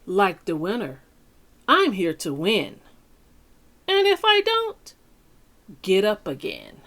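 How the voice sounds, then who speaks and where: A woman reading a picture book aloud to children like a teacher, with deliberate, dramatic phrasing and pauses. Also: mid-range voice, wildly swooping pitch, fairly bright tone. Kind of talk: expressive children's read-aloud.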